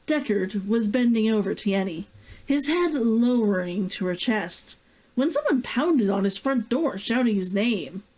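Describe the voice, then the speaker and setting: A woman with a high-pitched voice and a highly animated delivery. She takes her time as she talks, in the giggly, effusive way of an online host sharing her own writing. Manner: giggly, effusive, drawn-out sighing interjections. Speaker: vtuber host and author. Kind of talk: casual excited chat and reading aloud from own novel.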